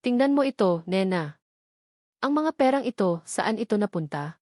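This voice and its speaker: A woman's voice: mid-range voice, lively intonation, normal speed, darkish tone, no echo, natural voice. Filipino woman doing earnest rich dad in a love story, pleading and emotional, dry sound. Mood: worried. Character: earnest, anguished wealthy man, a long-lost father.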